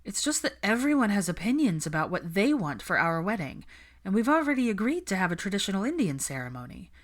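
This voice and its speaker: A woman's voice: mid-range voice, very animated, quick pace, pitched above the performer's own voice. A woman voicing a stressed young witch in a story reading, venting emphatically about planning her wedding. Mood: frustrated.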